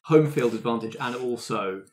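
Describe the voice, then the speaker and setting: Male game master: medium pitch, casual, explaining rules and narrating for players. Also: normal speed.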